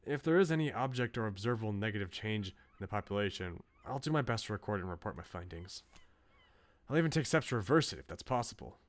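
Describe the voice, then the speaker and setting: A man voicing an earnest, bookish researcher from a visual novel in a measured, formal, serious way. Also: fairly low pitch, lively intonation, natural voice. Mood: grave, resolute.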